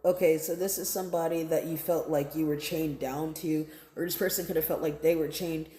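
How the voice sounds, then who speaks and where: A woman's voice: low-pitched, fairly even intonation, a little fast, mellow, reverberant. A woman giving a casual, improvised tarot reading, talking directly to her viewers in a conversational, repetitive way and often checking in with them. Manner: conversational, repeats phrases, often checks in with "right?" and "okay?". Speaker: tarot card reader.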